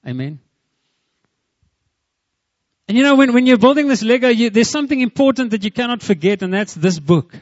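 A male pastor in his thirties with a South African English accent, praying and then preaching in a church in a chatty, warm way that is full of "amen". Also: extremely high pitch, big pitch swings, a little fast, long pauses, dry recording, muffled recording. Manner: warm, chatty, mixes in Afrikaans, lots of "amen" and "Lord". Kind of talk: church prayer and sermon. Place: church hall with a live congregation.

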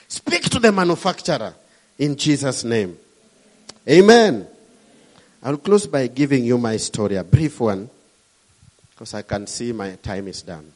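A man's voice: medium pitch, darkish tone. A middle-aged man from Kenya with a Kenyan accent, preaching a sermon with emphatic, rising and falling delivery.

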